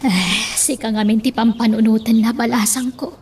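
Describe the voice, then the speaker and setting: A woman's voice: a little high, steady tone, moderate pace, darkish tone, slight background noise, natural voice. A woman playing a worried, insistent older mother figure in an Ilocano radio drama.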